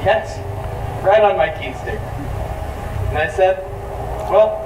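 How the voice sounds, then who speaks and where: A man's voice: sits high, slow pace, background noise. A man playing a cheerful, dashing young groom, blindfolded and clumsy, with a jaunty storytelling manner, in a community musical. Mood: breezy. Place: outdoor amphitheater stage. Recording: distant, echoey stage sound with loud band.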